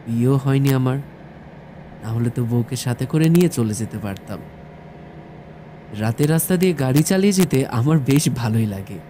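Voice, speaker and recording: A man narrating a scripted first-person horror story in a measured storytelling manner. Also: mid-range voice, lively intonation, dark tone, slight background noise. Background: background music.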